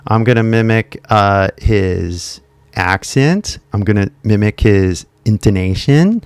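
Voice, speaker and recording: A man voicing an adult Japanese man who is learning English and talks himself through it, with slow, deliberate, repetitive phrasing and frequent fillers. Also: on the low side, animated, smooth, no room sound. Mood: determined. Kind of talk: modeled learner self-talk.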